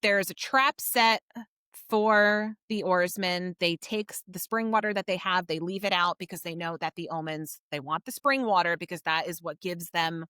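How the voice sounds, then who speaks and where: A woman's voice: on the low side, moderate pace, bright, forceful tone, clean tone, dry recording, studio quality. A woman co-hosting a podcast, casually recapping and discussing a book in an informal, explanatory way. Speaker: podcast co-host.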